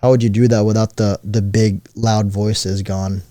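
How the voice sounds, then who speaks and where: A man's voice: lowish voice, little pitch movement, normal speed, warm tone, dry recording, clean studio sound. A man co-hosting a casual podcast, laid-back and slangy, tossing teasing questions at his friend. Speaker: podcast co-host.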